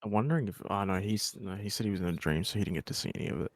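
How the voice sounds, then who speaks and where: A man's voice: fairly low pitch, very fast, slight background noise. A man giving casual live reaction commentary as a game streamer, blunt, sarcastic and sweary.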